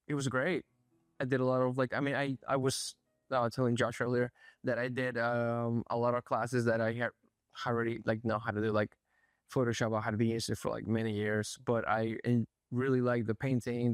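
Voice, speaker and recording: A young man from Spain, an artist being interviewed, speaking in a soft, thoughtful way with many pauses and fillers. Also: mid-range voice, clear, resonant tone, no room sound. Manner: soft-spoken, thoughtful, hesitant, frequent fillers. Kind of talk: casual interview. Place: art gallery.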